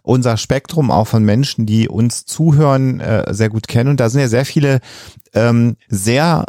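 A man's voice: medium pitch, a little slow, warm tone, no room sound, clean studio sound. A man co-hosting a podcast discussion, speaking conversationally and earnestly as he appeals to listeners. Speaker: podcast co-host.

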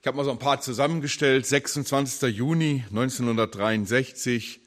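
A man preaching a sermon, earnest and emphatic, slowing and dropping his voice low on key words.

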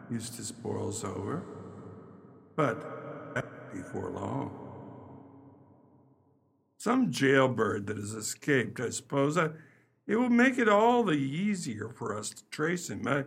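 A man acts as a methodical, deferential police detective in a radio play. His voice is gravelly and husky, with lively rises and falls, and his delivery is polite and a little halting. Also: mid-range voice, exaggerated voice. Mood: puzzled. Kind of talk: amateur radio play acting.

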